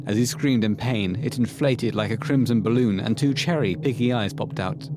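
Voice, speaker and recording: A man narrating a scripted horror story for an audio play in a measured, literary storytelling manner. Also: mid-range voice, lightly acted.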